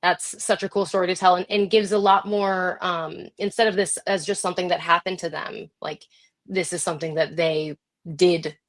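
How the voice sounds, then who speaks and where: Female podcast host, voice a touch low, casual interview style, appreciative and informal. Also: fairly even intonation, normal speed. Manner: informal, effusively appreciative, affirming. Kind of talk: casual conversational interview hosting.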